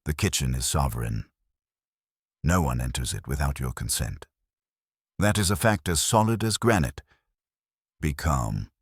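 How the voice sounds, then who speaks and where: A man voicing an imperious, disdainful male detective in a detective story, husky, formal and pompous. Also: extremely low pitch, expressive, unhurried, long pauses, no echo, studio quality, exaggerated voice. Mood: authoritative.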